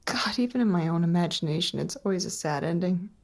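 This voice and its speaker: A woman playing a fragile, self-doubting insomniac patient in a psychological horror audio drama, speaking haltingly. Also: lowish voice, lively intonation, darkish tone, no echo. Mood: doubtful, downcast. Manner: hesitant, halting. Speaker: therapy patient.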